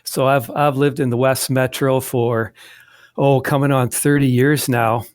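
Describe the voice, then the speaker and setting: A man's voice: medium pitch, flat delivery, a little slow, dry recording. Rambling Midwestern man, dry close mic. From southwestern Wisconsin. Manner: rambling, frequent restarts and fillers. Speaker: guest, magazine editor. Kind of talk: casual interview conversation.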